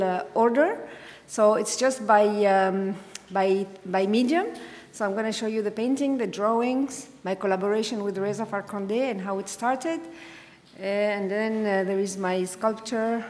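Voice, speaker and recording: Halting woman speaker in a reverberant hall. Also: medium pitch. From Egypt. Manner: halting, many fillers and restarts. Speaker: artist giving a symposium talk. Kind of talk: unscripted lecture with slides. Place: dark auditorium, speaking at a podium. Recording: long hall reverb, speaker far from mic.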